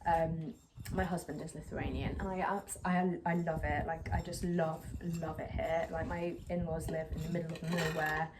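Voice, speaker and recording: A woman's voice: deep voice, little pitch movement, normal speed. A young woman chatting to the camera while repotting a plant outdoors, rambling with lots of fillers. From England. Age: young adult. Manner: rambling, filler-heavy, self-conscious. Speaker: plant vlogger. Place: outdoors on a patio by a brick wall, a little windy. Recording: clear outdoor noise behind.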